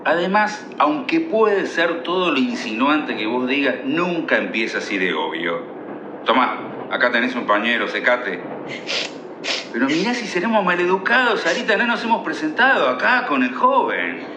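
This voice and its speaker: A man's voice: fairly high pitch, very animated, normal speed, background noise. Man acting fussy Argentine father, roomy sound. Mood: soothing, chiding. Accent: Argentine, Rioplatense. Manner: avuncular, colloquial, chiding. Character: fussy, chatty, soothing merchant father. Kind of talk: comic radio theatre acting.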